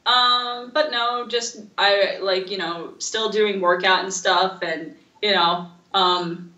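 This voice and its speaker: A woman co-hosting a casual podcast, rambling through stories in an animated, informal way. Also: mid-range voice, takes it slowly, echoey room, highs cut off. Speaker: podcast co-host. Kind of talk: casual chat.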